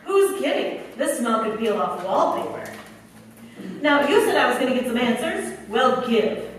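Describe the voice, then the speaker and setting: Woman doing tough american working gal, radio play, wry slangy wisecracks, high voice, super echoey. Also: very animated, moderate pace. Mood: wry defiance. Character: tired, wisecracking, tough American factory girl. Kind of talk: staged 1940s-style radio play acting. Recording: very echoey, distant mic, faint noise.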